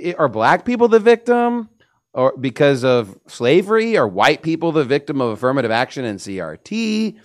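Low-pitched man in opinionated religious discussion.

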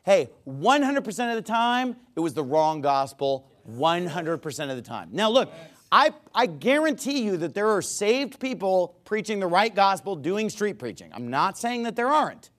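Male American preacher, higher voice with big pitch swings, emphatic and argumentative, talking straight to the congregation. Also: sing-song, normal speed, a bit bright. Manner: emphatic, repeats phrases for stress; folksy direct address to listeners. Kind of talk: sermon, conversational and argumentative.

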